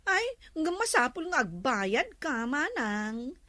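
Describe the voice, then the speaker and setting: A woman's voice: sits high, big pitch swings, slow, sharp tone, no room sound, exaggerated voice. A woman with an Ilocano accent acting a vain, giggly younger village beautician in a comedy skit. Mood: coy, confident.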